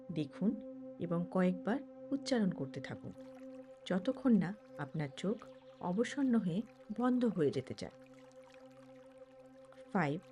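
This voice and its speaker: Female meditation guide. Lowish, very mellow tone, measured instructional phrasing over background music. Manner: measured, with pauses between short phrases. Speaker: certified meditation instructor and mind trainer.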